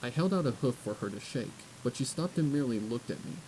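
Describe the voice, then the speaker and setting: A man's voice: mid-range voice, animated, quick pace, mellow, a little hiss or hum, poor audio. A man reading a story aloud as an audiobook narrator, in a scripted narration style.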